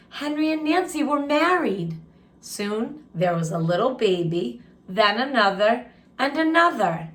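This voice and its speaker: A woman reading a children's picture book aloud expressively, pausing to ask listeners questions and share reactions. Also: a little high, fairly bright tone. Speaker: story time host, read-aloud teacher. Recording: roomy, echoing room sound.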